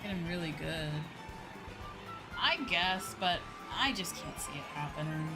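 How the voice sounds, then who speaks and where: A woman's voice: deep voice, a little slow, low-quality recording. Woman livestreamer chatting over game music. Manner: opinionated, emphatic, swears freely. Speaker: streamer, VTuber host. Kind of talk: casual livestream chat while gaming. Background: video game music.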